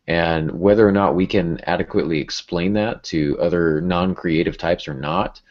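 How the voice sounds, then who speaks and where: Male podcast host, deep register, casual and wry delivery, slightly muffled recording. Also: normal speed. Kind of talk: casual conversational podcast talk. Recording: dull lo-fi podcast audio.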